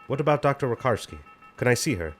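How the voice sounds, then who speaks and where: A man's voice: medium pitch, very animated, fast, soft background music, lightly acted. Guy playing worried science professor in an audio drama, guarded, keeps asking questions, protective of his people.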